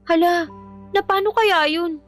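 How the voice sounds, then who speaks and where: An actress playing a frank, resentful daughter in a Filipino radio drama, exasperated and speaking with a Filipino accent. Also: female voice, very high-pitched, unhurried, faint music behind. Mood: curious, concerned. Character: resentful young daughter, outspoken but respectful to mother.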